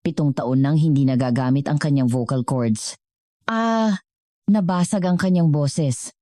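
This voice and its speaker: A Filipino woman narrates a scripted dramatic story in a strikingly low voice. Her tone is on the mellow side, and the recording is dry with little room sound. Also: unhurried, no echo.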